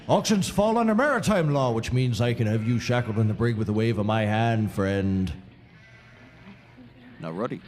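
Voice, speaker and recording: A man's voice: mid-range voice, darkish tone, lightly acted. An actor playing a folksy, rambling, sardonic livestock auctioneer in a scripted audio comedy, wordy and droll in his delivery.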